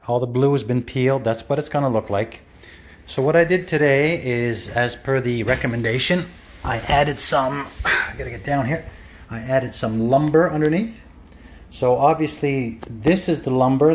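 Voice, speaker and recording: A man's voice: medium pitch, a little hiss or hum. A man giving a casual, rambling walkthrough of his kitchen renovation with lots of fillers, recorded on a handheld phone in the kitchen. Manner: rambling, many fillers and restarts. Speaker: home renovation vlogger. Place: kitchen under renovation, indoors. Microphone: handheld phone camera.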